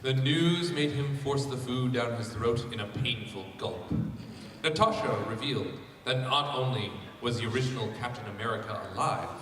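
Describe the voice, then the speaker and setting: A man's voice: medium pitch, moderate pace, fairly bright tone. Man on a very echoey panel. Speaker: panel co-host and narrator. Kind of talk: casual banter and reading aloud to an audience. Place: large echoey convention panel room. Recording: very echoey hall, far mic, crowd noise.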